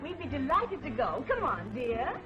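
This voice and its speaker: An actress playing an eager, sociable society wife in a 1930s film. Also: female voice, high-pitched, big pitch swings, normal speed, lo-fi sound. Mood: eager, coaxing.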